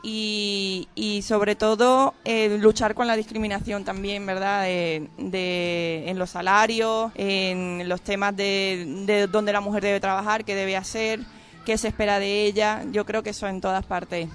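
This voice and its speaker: A woman's voice: medium pitch, flat delivery, measured pace. Caribbean-born woman chatting, lots of "right?" and fillers, faint noise behind. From a Caribbean island. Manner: hesitant, many fillers and tag questions. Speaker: guest. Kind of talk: conversational interview answers.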